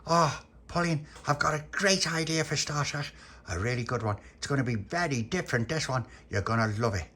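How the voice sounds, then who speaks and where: Man doing an excitable little boy. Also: a little fast, breathy edge. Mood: gushing. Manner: high, excited, breathless delivery.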